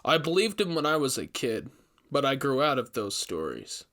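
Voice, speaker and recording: A man's voice: mid-range voice, very animated, moderate pace, a bit bright. A man voicing an earnest, troubled young forest ranger in a storybook reading, thoughtful and hesitant. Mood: haunted, earnest.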